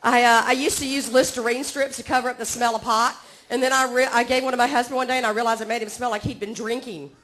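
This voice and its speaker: A woman's voice: fairly high pitch, fairly even intonation, quick pace, fairly bright tone. A woman in her forties from Georgia with a Southern accent, doing a self-mocking stand-up set on a comedy club stage and chatting with the crowd. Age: 40s.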